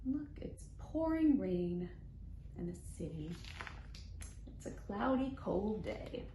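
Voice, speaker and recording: In an echoey room with some background noise, a woman hosts storytime for small children. She speaks slowly in simple phrases, with an expressive, animated read-aloud delivery. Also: medium pitch. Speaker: children's library storytime host.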